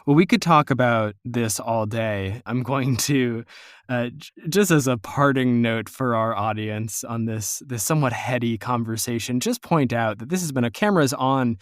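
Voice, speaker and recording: Man, podcast host, medium pitch, dry studio-like sound, conversational, wordy, playfully wry. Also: normal speed, no room sound. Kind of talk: conversational interview hosting.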